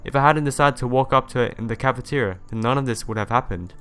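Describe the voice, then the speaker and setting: A man's voice: mid-range voice, fast, a bit bright, clear, resonant tone, soft background music. A man hosting a let's play, reading visual novel narration aloud and sometimes stumbling and correcting himself.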